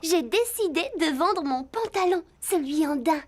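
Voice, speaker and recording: A woman's voice: extremely high pitch, expressive, unhurried. A woman voicing a caring, dramatic teenage girl rider in a French cartoon dub, speaking expressively. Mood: determined. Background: background music.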